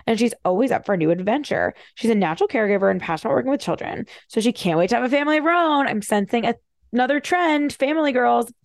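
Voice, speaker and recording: A woman hosting a solo podcast, talking fast and casually with wry, animated asides. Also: mid-range voice, gravelly, no room sound, clean studio sound. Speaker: podcast host. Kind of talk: casual solo commentary, reading bios with asides.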